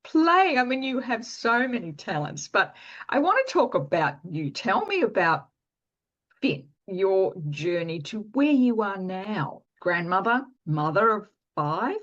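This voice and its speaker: A woman's voice: mid-range voice, sing-song, unhurried, fairly bright tone, lo-fi sound. A woman hosting a podcast, introducing and chatting with a guest in an enthusiastic, chatty manner. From Canada. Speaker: podcast host. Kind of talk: podcast interview.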